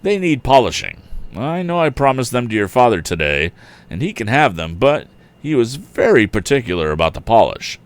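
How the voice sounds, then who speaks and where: A man's voice: mid-range voice, sing-song, moderate pace, voice pushed up. A man voicing an apologetic, chatty machine shop owner in a storybook, explaining himself in a folksy way.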